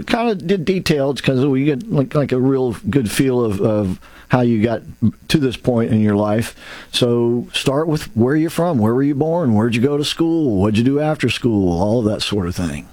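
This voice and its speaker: A man's voice: mid-range voice, a little mellow, dry recording. A man hosting a talk show, rambling and folksy, with many restarts and asides. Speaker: radio show host. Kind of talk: casual radio talk show.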